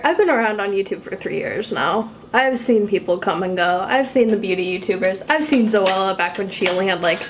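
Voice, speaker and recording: Chatty young woman with a low, husky voice. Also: echoey room, a little hiss or hum. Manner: chatty and self-mocking, swears casually. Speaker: YouTuber doing a makeup tutorial. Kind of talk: casual sit-down chat while doing makeup. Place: apartment room.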